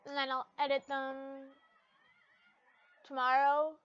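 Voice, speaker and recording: High-pitched woman reading aloud, slow and halting. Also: little pitch movement, a bit bright, dry recording, lo-fi sound. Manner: informal, halting, stumbles over words while reading. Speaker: fan fiction reader, channel host. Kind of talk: casual reading aloud with chatty asides.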